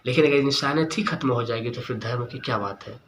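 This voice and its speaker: A man's voice: medium pitch, quick pace, a little hiss or hum. A man giving an earnest opinion monologue to viewers as a vlogger, speaking emphatically and repeating key words and phrases.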